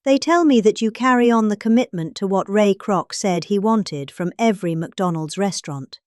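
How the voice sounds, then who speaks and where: A woman's voice: medium pitch, normal speed, a little mellow, no echo, studio quality. A middle-aged woman with a British accent giving a dramatic comic reading of a speech, pompously, with exaggerated emphasis. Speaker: performer reading out a political speech.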